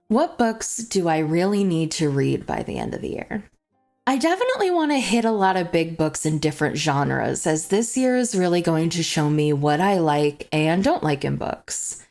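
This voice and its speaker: A young adult woman chatting as a book vlogger, gushing and confessional in manner. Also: fairly low pitch. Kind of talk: chatty book review to camera. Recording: music playing behind the voice. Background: background music.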